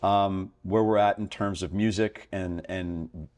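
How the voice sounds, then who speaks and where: Deep-voiced man hosting an interview. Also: steady tone, takes it slowly, darkish tone. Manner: rambling, thinks aloud with many fillers. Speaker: podcast host. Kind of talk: conversational interview.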